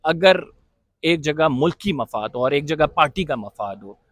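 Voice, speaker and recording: A man with a Pakistani accent putting probing questions as an interviewer, somewhat halting and switching into English mid-sentence. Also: on the high side, expressive, fairly bright tone, dry recording, studio quality.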